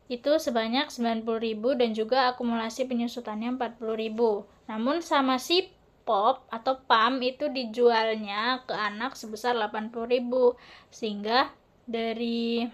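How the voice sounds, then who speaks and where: A young adult woman giving an explanatory class presentation as a student, with a halting, hesitant delivery. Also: a little high.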